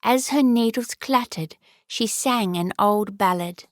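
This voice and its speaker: A woman narrating a fairy-tale audiobook in a measured storytelling manner. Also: mid-range voice, no room sound, studio quality.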